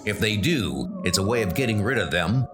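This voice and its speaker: A man playing a resolute ex-soldier who leads armored knights, speaking in a measured, commanding manner for a comic-book audio drama. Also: lowish voice, lively intonation, voice pushed down, close to an everyday voice. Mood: measured, grave. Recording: music under the voice.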